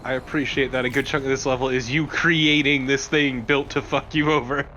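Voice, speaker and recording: A man's voice: mid-range voice, steady tone, a little fast, sharp tone, highs cut off, slight background noise. A man co-commentating on a let's play in casual banter, wry and often swearing.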